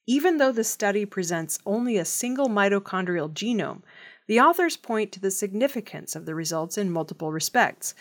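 A woman reads scripted news as a presenter in a measured, formal, clearly enunciated way. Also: medium pitch, lively intonation.

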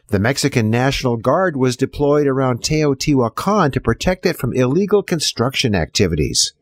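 A male host reads a scripted podcast introduction. His pitch is mid-range and moves in a very lively way. The sound is dry, with hardly any room on it. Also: dry recording. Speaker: show host.

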